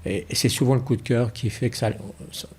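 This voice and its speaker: A man's voice: medium pitch, fairly even intonation, fairly quick, a little mellow, breathy edge. A man being interviewed in a casual way, repeating words as he searches for his phrasing. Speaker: interview guest, horse-drawn carriage driver.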